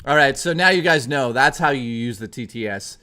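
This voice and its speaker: A young man streaming live, chatting casually and excitably with viewers and swearing often. He is hoarse and losing his voice. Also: medium pitch, a little fast, very noisy.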